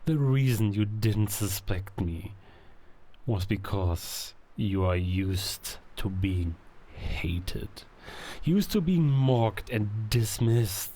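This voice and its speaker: An actor playing a scheming werewolf king who coaxes and manipulates in a theatrical, insinuating way, with drawn-out words and hushed asides, in an audio drama. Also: on the low side, expressive, exaggerated voice. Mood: persuasive scorn. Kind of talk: cinematic audio drama villain acting.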